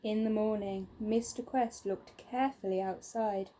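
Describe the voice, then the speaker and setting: A woman narrating a children's storybook in a measured, expressive way. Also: medium pitch, a little hiss or hum. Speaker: storybook reader.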